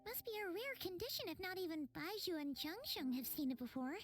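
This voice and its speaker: A tiny, caring fairy sidekick from a video game, with an extremely high, squeaky, childlike voice. She chirps with bouncy, animated pitch over light music. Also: a little fast. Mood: concerned, caring. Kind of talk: scripted video game dialogue.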